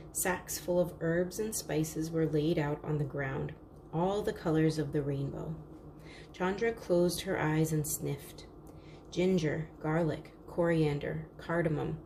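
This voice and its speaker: A woman narrates a children's storybook. Her voice sits a little low for a woman, and the delivery is scripted read-aloud storytelling. Light noise is audible behind her. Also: warm tone, rough recording.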